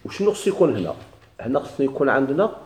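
Gravelly Moroccan man teaching grammar. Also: mid-range voice, sing-song. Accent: Moroccan Arabic. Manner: explains slowly, repeats and stretches key words, asks students questions. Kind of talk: recorded grammar lesson. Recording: echoey classroom recording.

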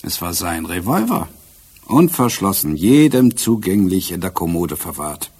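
An actor playing a shrewd, self-assured police inspector in a crime radio drama, explaining in a measured, dryly ironic way. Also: male voice, low-pitched, big pitch swings, a little mellow, breathy edge, heavily acted. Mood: matter-of-fact.

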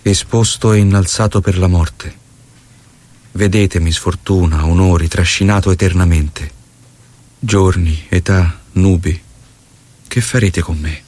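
An actor playing a doomed revolutionary leader, reciting poetically and deliberately in a solemn manner. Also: male voice, sits low, fairly even intonation, a little mellow. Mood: grave, lyrical.

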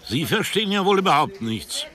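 A pedantic, brusque insect researcher in a radio drama. His voice leaps up and down, forceful and bright. He lectures with open condescension, a man with no patience for fools. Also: sits high, big pitch swings, brisk, exaggerated voice. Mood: irritated, dismissive. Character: cantankerous, pedantic male insect researcher with a stick. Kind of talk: radio play acting.

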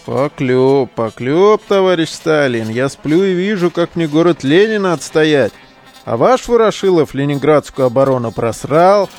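An actor in a modern audio drama playing a foul-mouthed, mocking relic digger who talks in coarse slang. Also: male voice, a little high, lively intonation, unhurried, a little mellow. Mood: mock-military deference. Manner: slangy, coarse, jeering banter.

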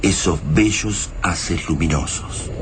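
A grave narrator of a post-invasion radio tale. His Argentine voice is low and solemn, with literary phrasing, over a noisy soundtrack. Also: slow pace. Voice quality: deep. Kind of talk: radio drama scripted narration.

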